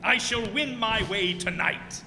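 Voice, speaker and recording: Radio play villain: blasphemous proud sea captain, archaic commanding declamation, very piercing, slow delivery. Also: male voice, high voice, sharp tone, exaggerated voice. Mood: scornful. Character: mad, defiant, blaspheming Dutch sea captain, proud tyrant. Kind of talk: old-time radio drama acting. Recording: hall reverb, faint background noise.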